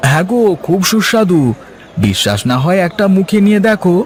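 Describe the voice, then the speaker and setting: A man's voice: high voice, big pitch swings, a little fast, a little hiss or hum. A man playing a poor, humble village father in a cartoon fairy tale, speaking earnestly with a plaintive edge. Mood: eager.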